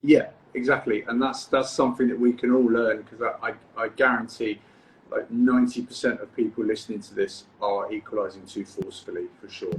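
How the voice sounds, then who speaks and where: A man's voice: lowish voice, brisk, slight background noise. British man hosting, echoey room. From United Kingdom. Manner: relaxed, encouraging, repeats words when starting. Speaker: freediving coach and podcast host. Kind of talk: casual podcast interview.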